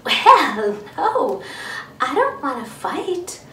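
A woman voicing a mild, friendly little female ladybug in a children's storybook, gentle and reluctant. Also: sits high, big pitch swings, drawn-out words, exaggerated voice. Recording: roomy, distant mic, faint noise.

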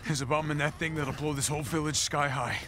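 An actor playing a gruff, middle-aged military operative in a video game, terse and commanding, with an American accent. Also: male voice, on the high side, steady tone, fast talker, natural voice. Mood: urgent. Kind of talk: dramatic video game cutscene acting. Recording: battle and outdoor ambience noise.

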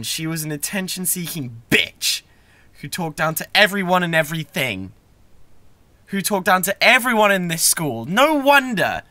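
A man with a British accent acting a bratty, obsessive young classmate in an ASMR roleplay, stammering and intense, shifting between soft pleading and outbursts. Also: high-pitched, dry recording, exaggerated voice. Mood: agitated, angry. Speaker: audio roleplay performer.

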